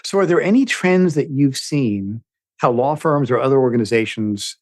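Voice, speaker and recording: A man's voice: medium pitch, lively intonation, moderate pace, darkish tone, dry recording, studio quality. A man in his fifties hosting an interview podcast, friendly and self-deprecating, with short affirming replies as he asks questions. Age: 50s. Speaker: podcast host. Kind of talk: casual interview chat.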